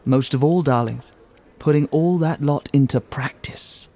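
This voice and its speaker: An actor playing an urbane, florid theatre critic in an audio drama, pompous and theatrical. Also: male voice, a little high, unhurried, warm tone. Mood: grandly explanatory.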